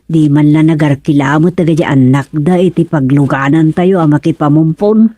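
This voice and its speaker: Chatty, teasing Ilocano village old-timer acted out. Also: male voice, on the high side, moderate pace, mellow, no echo, lightly acted. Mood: complaining. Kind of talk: Ilocano radio comedy drama acting.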